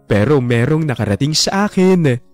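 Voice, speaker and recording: A man's voice: medium pitch, big pitch swings, moderate pace, darkish tone, soft background music. A young man narrating his own heartbreak in the first person, in a reflective, wistful way.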